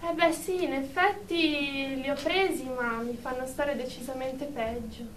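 A woman's voice: high voice, steady tone, unhurried, a bit bright. A woman playing a nervous, evasive young shop assistant being questioned in therapy, hesitant and defensive, in a radio drama. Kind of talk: Italian radio drama acting. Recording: roomy, distant mic, faint noise.

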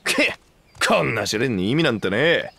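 A man's voice: medium pitch, very animated, moderate pace, gravelly, pushed and bright, exaggerated voice. A man playing a surly, contemptuous brute of a rival in a Japanese video game, coarse and sneering. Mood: scornful.

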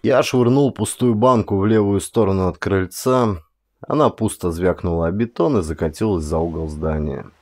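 A man narrating an audiobook in a slow, brooding first-person voice. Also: sits low, darkish tone, no room sound, clean studio sound.

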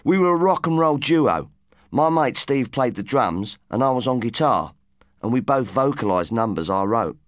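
Earnest has-been rocker voiced by a man, lively intonation. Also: mid-range voice, moderate pace, no echo, clean studio sound, close to an everyday voice. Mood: wistful. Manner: earnest, wistful. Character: earnest, haggard washed-up rock musician in his late twenties. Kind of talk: audiobook character dialogue.

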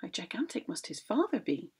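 A woman's voice: mid-range voice, sing-song, a little fast, a little mellow, slightly rough, pitched above the performer's own voice. A woman voicing a huge, frightened male giant, muttering fearfully to himself.

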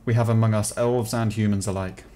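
A man's voice: on the low side, fairly even intonation, brisk, dark tone. A man role-playing a dwarf in a game, speaking in a mock-formal, playful way. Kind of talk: in-character tabletop-style role-play banter.

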